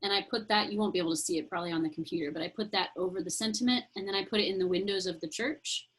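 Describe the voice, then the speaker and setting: A woman's voice: lowish voice, very fast, slightly rough, rough recording. Chatty woman teaching a craft class. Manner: chatty, friendly explaining with fillers. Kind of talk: casual online class instruction.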